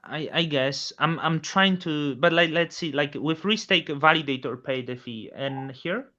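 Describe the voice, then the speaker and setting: A man hosting a casual chat, asking probing questions in a slightly halting way. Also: fairly high pitch, pushed and bright. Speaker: host. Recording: muffled, dull sound.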